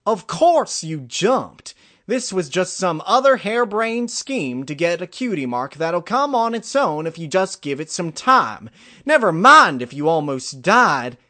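Man doing a loud, high, scolding mare. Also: sing-song, measured pace, exaggerated voice. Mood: angry, exasperated. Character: scolding, exasperated older sister pony mare.

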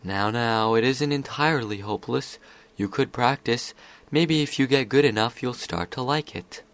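Man as a sly, creaky tempter spirit. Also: mid-range voice, moderate pace, a bit bright, natural voice. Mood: mock-soothing. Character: sly, insinuating male spirit, whispering tempter.

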